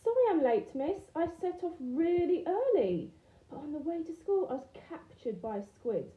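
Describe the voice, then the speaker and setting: An excitable little boy fish from a children's storybook, played by a woman, high and very lively in pitch. He gushes earnest, breathless tall-tale excuses in a roomy, echoing space. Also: normal speed, lo-fi sound. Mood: apologetic, excited. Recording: roomy indoor echo.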